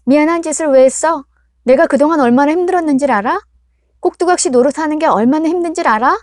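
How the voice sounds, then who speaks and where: A woman's voice: high voice, sing-song, no room sound, studio quality, voice pushed up. A woman voicing a snapping, resentful teenage girl. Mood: accusing. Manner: accusing, snapping, rapid outbursts. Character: resentful, sharp-tongued teenage daughter.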